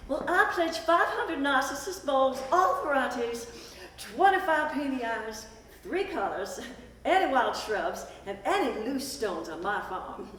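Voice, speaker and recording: A woman's voice: high-pitched, big pitch swings, a little slow, a bit bright, full character voice. A woman playing plain-spoken rural townsfolk in a stage play, announcing pledges in a declarative manner. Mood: proud, declarative. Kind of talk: scripted stage play recitation. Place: stage performance at a festival. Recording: echoey hall, distant mic, noisy.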